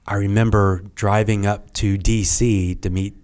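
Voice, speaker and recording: A middle-aged man, a guest on a podcast, telling a story in a halting, rambling way that trails off quietly. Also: lowish voice, flat delivery, clean tone. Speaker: podcast guest, retired Navy serviceman. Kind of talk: casual interview storytelling.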